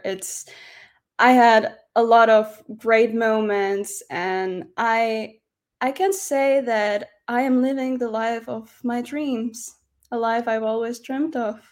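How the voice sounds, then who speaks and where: A young woman from Slovakia with Slovak as her first language, speaking as a guest in an interview and giving soft, thoughtful answers with some hesitations. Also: fairly high pitch. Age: young adult. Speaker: guest musician. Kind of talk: casual interview.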